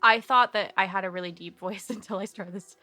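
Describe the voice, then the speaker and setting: A woman chatting casually as a player on a tabletop game podcast, animated, slangy and jokey. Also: mid-range voice, brisk, soft background music. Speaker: player on an actual-play podcast.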